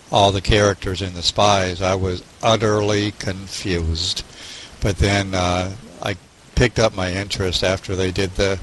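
A man with a very low voice and a flat, monotone delivery. He talks informally as a book club member, with faint background noise. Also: poor audio. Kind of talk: casual group book discussion.

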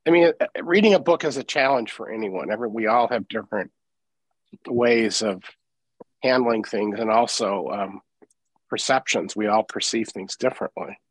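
A man giving his opinions in a casual group discussion, halting and thoughtful, often correcting himself as he goes. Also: fairly low pitch, husky, no echo, rough recording. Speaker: book group participant.

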